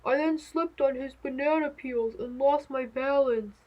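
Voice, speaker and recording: A woman voicing a huge, gentle male storybook elephant who explains earnestly and apologetically, short of breath. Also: high voice, measured pace, lo-fi sound. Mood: apologetic, winded. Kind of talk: children's storybook character voice.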